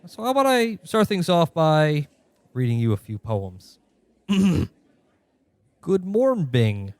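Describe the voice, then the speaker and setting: A man playing a smug, self-serious poet with a precious, deliberate delivery in a comic radio play. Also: on the high side, sing-song, dry recording, heavily acted. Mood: precious reciting.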